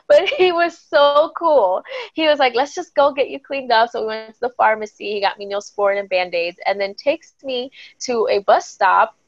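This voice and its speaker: A woman's voice: a little high, normal speed, dry recording. A young woman in her twenties tells her dating stories with candid, bubbly energy and breaks into laughter often. Her voice comes through a wired earbud mic on a video call from a small bedroom, and the sound is dry and slightly dull, with a lo-fi quality. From Dominican Republic, raised in the Bronx. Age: 20s. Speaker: podcast guest. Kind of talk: casual storytelling on a video call. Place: small home bedroom indoors.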